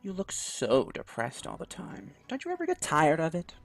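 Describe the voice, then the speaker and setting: A man's voice: a little high, huge pitch swings, moderate pace, faint music behind, voice pushed up, heavily acted. A man voicing a cocky, mocking teenage schoolboy bully in a visual novel read-aloud. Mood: smug. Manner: taunting, smug.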